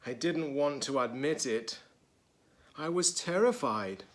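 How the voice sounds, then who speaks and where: A man voicing a wistful, anxious storybook egg who recounts his fall in an earnest, deliberate way. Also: medium pitch, lively intonation, pitched above the performer's own voice. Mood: nervous, resolute.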